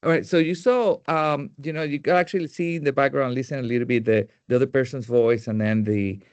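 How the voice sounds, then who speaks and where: A man with a Puerto Rican accent, a native Spanish speaker, giving a tech presentation in a halting way with many fillers and restarts. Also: medium pitch, fairly even intonation, no room sound, highs cut off. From Puerto Rico.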